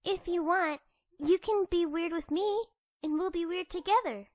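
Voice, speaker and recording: A woman voicing a kind, whimsical little girl pony, gentle and earnest, in a cartoon-style comic dub. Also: extremely high pitch, normal speed, no echo, studio quality. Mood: gentle, friendly.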